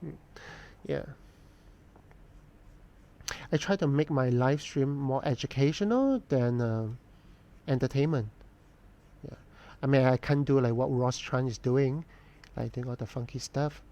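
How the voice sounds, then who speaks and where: A man, chatting casually to viewers while he draws on a live stream, answering questions in an unhurried, thoughtful way with frequent pauses. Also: mid-range voice, mellow, lo-fi sound. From Hong Kong. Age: 40s. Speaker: artist live-streaming a drawing session.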